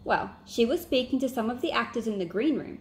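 A woman voicing a defensive, excitable boy from a storybook detective club, with emphatic delivery. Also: fairly high pitch, a little fast, slight background noise, close to an everyday voice. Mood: excited.

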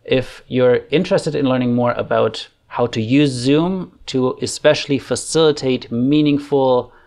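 Gravelly German-accented man, casual tutorial. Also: medium pitch, unhurried. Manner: relaxed, chatty, thinking aloud with fillers. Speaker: online facilitation trainer. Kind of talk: casual screen-share tutorial. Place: home room. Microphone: desk microphone on arm.

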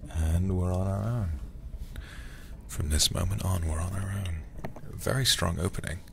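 A man streaming a horror game in a soft, intimate ASMR style close to the microphone, muttering and sometimes whispering. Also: low voice, a little slow, vocal fry, background noise. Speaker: livestreamer playing a horror game.

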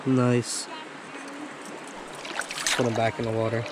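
A young man with a medium-pitched voice talks in a relaxed, slangy vlog style. He addresses his viewers casually, outdoors by a river, with clearly audible stream noise behind him on a handheld action camera. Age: young adult. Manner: laid-back, slangy, addresses viewers as guys. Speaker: vlog host, hobby angler. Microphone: action camera at arm's length or body-held. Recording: clearly noisy outdoor recording, rushing river. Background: rushing stream water.

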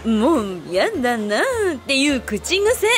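A woman's voice: fairly high pitch, sing-song, takes it slowly. A woman playing a blunt, teasing, tomboyish big-sister type in a comic radio drama, with casual masculine phrasing. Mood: amused. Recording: music behind the voice.

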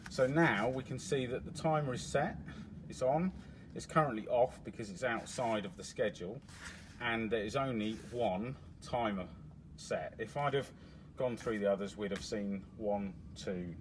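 A man's voice: fairly low pitch, moderate pace, poor audio. A man walking through a device's controls step by step, explaining in a plain, matter-of-fact way while he presses the buttons. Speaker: product demonstrator. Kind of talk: hands-on product walkthrough. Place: indoors at a table with a demo unit. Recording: noticeable background noise.

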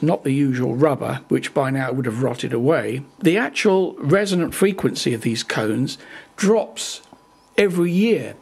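An older man with a slightly husky voice walks through the details of his hi-fi speakers at an easy, chatty pace. He is plain-spoken and drily wry. The sound comes from a camera's own microphone in a living room at home. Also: mid-range voice. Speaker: hobbyist reviewer. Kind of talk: casual technical explainer. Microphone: built-in camera microphone.

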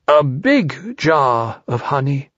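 A man voicing a simple, earnest toy bear in a storybook, speaking in a deliberate, drawn-out way. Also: high-pitched, very animated, breathy edge, dry recording, highs cut off, voice pushed up, heavily acted. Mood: insistent. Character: slow, earnest, honey-loving toy bear.

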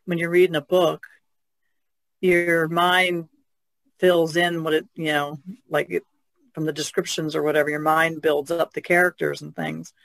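A man's voice: on the high side, level tone, moderate pace, creaky, fairly bright tone, dry recording. A man in a casual podcast discussion, explaining his thoughts in a tentative way.

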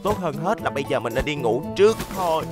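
An actor voices a secretive, excitable little adventurer of a cartoon vehicle. The mid-pitched voice swings widely as it mutters to itself, with music playing behind. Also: quick pace, lightly acted. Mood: wistful, pleased. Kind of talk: Vietnamese children's cartoon dub.

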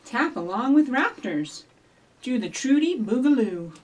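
A middle-aged woman hosting a children's storytime, giving simple instructions and reading rhymes to young children in an animated way, recorded by a camera a few feet away. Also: fairly high pitch, unhurried. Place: small indoor office or library room with block walls. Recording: distant camera microphone.

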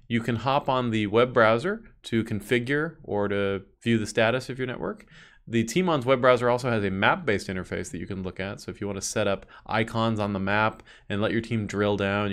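A man in his thirties giving an orderly, explanatory product walkthrough as a company presenter. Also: lowish voice.

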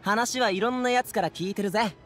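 ゲームで、男性声優が演じる明るく気さくな若い護衛。くだけた少年っぽい口調で話す。. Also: male voice, sits high, fast talker, a bit bright. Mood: friendly. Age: young adult. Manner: casual, breezy, boyish slang. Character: upbeat, friendly young man, transport guard. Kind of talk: Japanese anime game voice acting.

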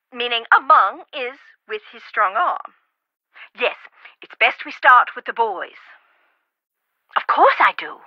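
A chatty, whimsical older woman who rambles about food and puzzles. Her voice sits high and swoops around a lot. It comes through a dull, phone-like line with no room sound. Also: dry recording. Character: fussy food-loving older woman helper. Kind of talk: game voice acting.

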